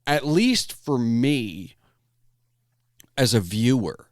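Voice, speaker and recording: A man hosting a casual podcast conversation, talking in a halting way and often repeating words as he thinks. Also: medium pitch, sing-song, no echo, studio quality. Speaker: podcast host.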